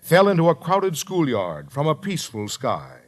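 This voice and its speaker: An American man narrates a 1950s radio documentary in a solemn, deliberate, scripted style with measured phrasing. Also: a little high, wildly swooping pitch. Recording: vintage 1950s radio broadcast, music underneath. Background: dramatic music.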